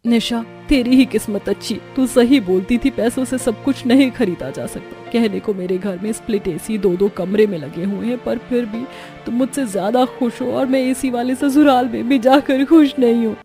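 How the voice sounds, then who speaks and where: Woman as a haughty, high-voiced young sister. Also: normal speed, mellow, soft background music, lightly acted. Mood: regretful. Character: haughty young rich-married sister, boastful or self-pitying.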